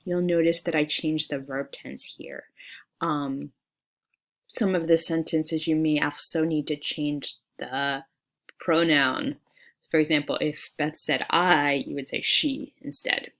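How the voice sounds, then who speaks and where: A woman's voice: low voice, steady tone, slow, a bit bright. A woman teacher talking through class material in a friendly, conversational way, as in an informal screencast walkthrough. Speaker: English teacher. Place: screen-recorded voice-over for an online class.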